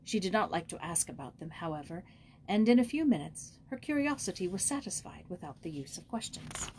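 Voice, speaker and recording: A woman reading a children's story aloud in expressive, scripted narration as an audiobook reader. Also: lowish voice, brisk, slight background noise, rough recording.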